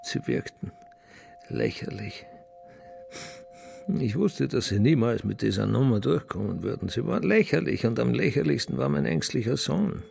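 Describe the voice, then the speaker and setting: An actor playing a bitter, contemptuous old businessman father telling his story in a scornful, deliberate radio-drama monologue. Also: mid-range voice, lively intonation, a little mellow, slight background noise. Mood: cold contempt. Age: older. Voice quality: gravelly, creaky.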